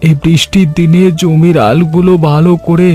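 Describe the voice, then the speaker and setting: A man's voice: a little high, level tone, moderate pace, dark tone, soft background music, lightly acted. A man playing an earnest, humble village farmer in a cartoon story, speaking respectfully. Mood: sincere. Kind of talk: Bengali cartoon voice acting.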